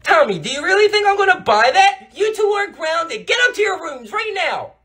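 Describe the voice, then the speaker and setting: A high, bright mother's voice put on by a woman in a comedy skit. She is emphatic and exaggerated, a strict parent who also dotes on her boys. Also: sing-song, normal speed, exaggerated voice. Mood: scolding. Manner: emphatic, gushing or scolding. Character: doting but strict mother of three boys. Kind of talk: family comedy skit acting.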